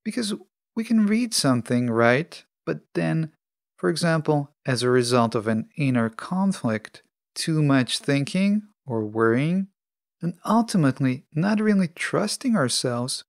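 A man hosting a self-help podcast alone, speaking in measured, deliberate phrases. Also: on the high side, big pitch swings, creaky, dry recording, clean studio sound. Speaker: podcast host.

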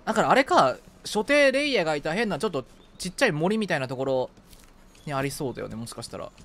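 A man streaming a video game in casual co-op chat, reacting playfully and excitably and often talking to himself as he plays. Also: fairly high pitch, fast.

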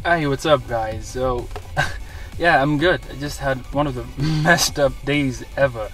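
A man's voice: medium pitch, measured pace, distant mic, over music, low-quality recording. A man in his twenties with a Kenyan accent, talking casually to camera as a vlogger, earnest and emphatic. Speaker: vlogger, social media personality. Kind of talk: casual vlog talk to camera. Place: inside a parked car.

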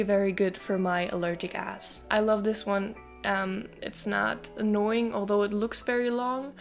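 A young woman chats to her camera in a small wood-paneled room, loose and conversational, peppering her sentences with "like". Her voice sits in an ordinary middle register. Soft music plays faintly underneath. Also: mid-range voice. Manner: chatty, frequent "like", casual swearing. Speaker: vlogger. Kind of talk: casual haul video chat.

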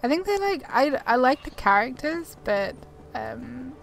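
A woman's voice: sits high, big pitch swings, unhurried, vocal fry, soft background music. A woman giving casual commentary as she plays a video game. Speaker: gamer, video host.

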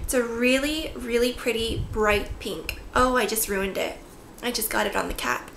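A woman's voice: fairly high pitch, moderate pace, vocal fry, fairly bright tone. A woman in her twenties chatting casually to camera as a beauty vlogger, often breaking off into little asides. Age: 20s. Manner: chatty, self-interrupting asides. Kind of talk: casual chat to camera showing a product haul. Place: small bare-walled room indoors. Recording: echoey room with faint background noise.

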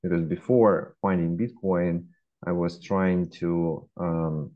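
Deep-voiced Russian man, dull call audio. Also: fairly even intonation. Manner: measured, frequent hesitations. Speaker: guest, fintech founder. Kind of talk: interview answers. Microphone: laptop webcam.

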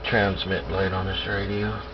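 A man's voice: sits low, flat delivery, a little slow. A man speaking casually in short, laconic remarks, like radio mic checks. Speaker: CB radio hobbyist filming his radio. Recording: noisy, dull camera recording.

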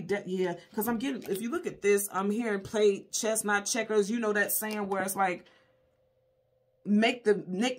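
A woman hosting a casual, intuitive tarot reading, talking to viewers in a conversational, emphatic way and often repeating her points. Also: medium pitch. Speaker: tarot card reader and host.

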